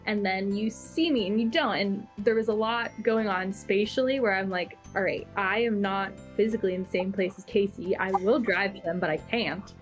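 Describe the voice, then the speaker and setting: A woman's voice: medium pitch, moderate pace, hardly any pauses. A woman who acts on a show, speaking casually and reflectively in a group chat. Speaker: actor on the show. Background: background music.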